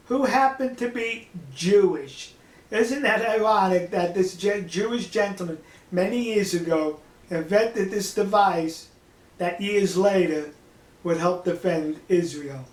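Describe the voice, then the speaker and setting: A man's voice: sits high, reverberant. An older man giving casual monologue commentary to viewers in a folksy, emphatic manner with rhetorical questions. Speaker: online political commentator.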